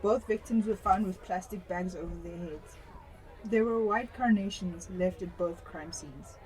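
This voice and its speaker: A woman's voice: on the low side, unhurried, a little mellow, natural voice, poor audio. A woman acting a matter-of-fact police detective who takes charge, in a radio drama. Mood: businesslike. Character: businesslike female police detective.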